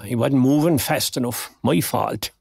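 Gravelly older Cork man, mild. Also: mid-range voice, measured pace, a little mellow, no echo, clean studio sound. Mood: hesitant, uneasy. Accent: Irish, County Cork. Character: retired older Irish man, mild, dignified, unassuming. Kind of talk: naturalistic audio drama acting.

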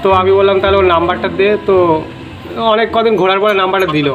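A man's voice: high voice, fairly even intonation, racing, dull audio. A man, hosting a prank-call vlog in casual, repetitive chat. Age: 20s. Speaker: prank-call video host. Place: outdoors beside a wall and metal shutter.

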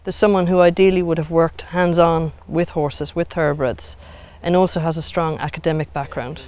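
A woman's voice: sits low, fairly even intonation, normal speed, darkish tone. A middle-aged woman, a programme manager, explaining in a composed interview outdoors on a grassy field. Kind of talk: interview answers.

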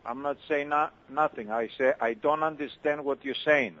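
A man's voice: on the high side, moderate pace. A man working at a restaurant, answering a phone call in a plain, polite way. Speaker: pizza restaurant worker taking a call. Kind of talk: phone conversation. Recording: dull lo-fi phone line.

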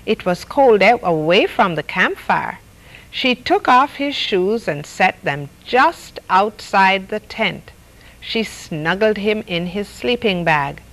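A woman's voice: medium pitch, animated, slow, a bit bright, low-quality recording. A middle-aged woman reading a children's story aloud expressively, acting out the characters' lines as she goes. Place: studio set dressed as a reading nook with stuffed toys.

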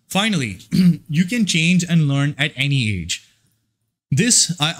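A man who works as a fitness and life coach, giving a casual motivational monologue in a rambling, profane and emphatic way. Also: medium pitch.

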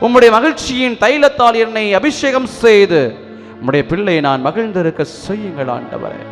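A man leading a spoken prayer as a priest, pleading fervently, swinging between loud appeals and hushed sorrowful passages. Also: sits high, moderate pace. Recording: long reverb with faint music behind.

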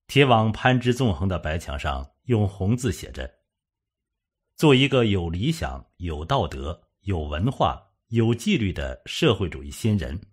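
A man's voice: low voice, sing-song, a little slow, breathy edge. A man narrating a suspense novel from a script, as an audiobook reader.

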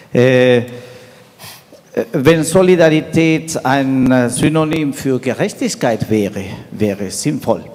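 A middle-aged man from Peru with a Peruvian Spanish accent, making a deliberate, halting comment from the audience. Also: medium pitch, darkish tone. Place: large wood-panelled conference hall. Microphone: handheld microphone. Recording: long hall reverb.

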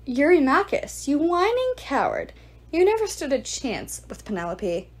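An arrogant male ghoul sneers and mocks those around him, as a woman reading aloud puts him on. His voice sits fairly high and swings widely in pitch. The delivery drips with contempt. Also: big pitch swings, moderate pace, a little mellow. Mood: sneering. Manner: mocking, contemptuous.

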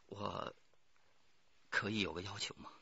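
An actor playing a weary, defeated criminal suspect confessing in a radio drama, with a husky, gravelly voice. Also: male voice, lowish voice, fairly even intonation, measured pace, pushed and bright, muffled recording, heavily acted. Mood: subdued, weary.

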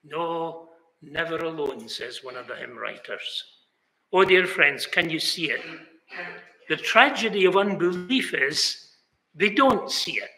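A man's voice: on the high side, lively intonation, unhurried, pushed and bright. An older British man preaching a sermon in a chapel, earnest, rising now and then to exclamations. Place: chapel hall, indoors. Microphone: fixed camera, seated mid shot. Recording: echoey chapel room.

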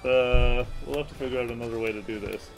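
A man's voice: mid-range voice, steady tone, vocal fry. A man, answering viewer questions casually and carefully on a livestream. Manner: hesitant, measured, noncommittal. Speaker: game company representative on a livestream. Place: indoor room, seated at a webcam. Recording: livestream clip with music behind. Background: music.

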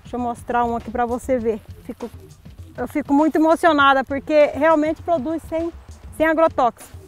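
A woman's voice: high-pitched, steady tone, moderate pace, soft background music. A woman farmer answering questions in a field in an earnest, simple way. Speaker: organic strawberry farmer. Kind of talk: casual interview answers. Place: outdoors in a strawberry field.

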